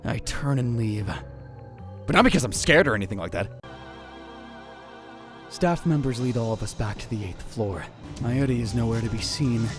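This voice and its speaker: A man's voice: medium pitch, moderate pace, pauses often, natural voice. A man playing an anxious, dramatic young conspiracy theorist who narrates a visual novel in a theatrical, self-questioning way. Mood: brooding, secretive. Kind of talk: first-person visual novel narration, acted. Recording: music bed under the voice. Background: background music.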